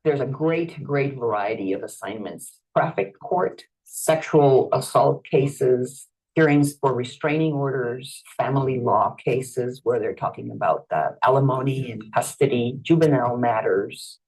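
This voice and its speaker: Husky low-voiced Salvadoran woman, explaining. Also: a little slow, a little mellow, poor audio. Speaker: court interpreter. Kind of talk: documentary interview narration.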